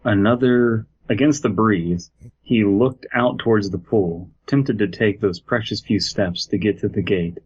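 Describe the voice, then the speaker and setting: A man's voice: on the low side, unhurried, a little mellow, slightly rough, lo-fi sound. A man co-hosting a podcast, reading a horror story aloud and mocking it with jokey, profane asides. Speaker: podcast co-host.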